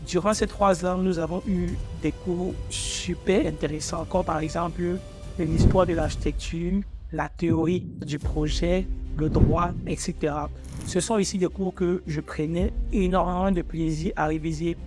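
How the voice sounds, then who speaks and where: A young man in his twenties with a fairly high-pitched voice speaks informally to his viewers, as a student vlogger would. He is from Africa and a native speaker of English. Faint music plays behind him. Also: steady tone, a little slow. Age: 20s. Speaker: architecture student presenting his own channel. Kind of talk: personal vlog, talking to viewers.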